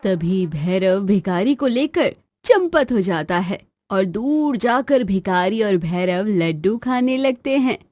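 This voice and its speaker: कहानी सुनाती महिला, मध्यम सुर. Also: female voice, medium pitch, lively intonation, takes it slowly, hardly any pauses, a little mellow, dry recording, faint music behind. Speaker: narrator. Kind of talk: scripted storybook narration.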